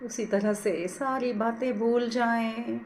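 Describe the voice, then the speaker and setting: Casting: woman meditation teacher, slightly high voice, very slow delivery, soothing and gently instructive. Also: fairly even intonation, slight background noise.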